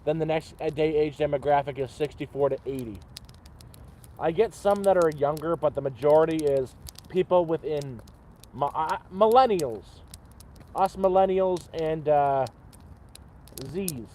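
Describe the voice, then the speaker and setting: A man's voice: a little high, a little slow, mellow, a little hiss or hum. A man in his thirties chatting casually to his livestream chat outdoors by a campfire, rambling and swearing freely. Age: 30s. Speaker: livestream host.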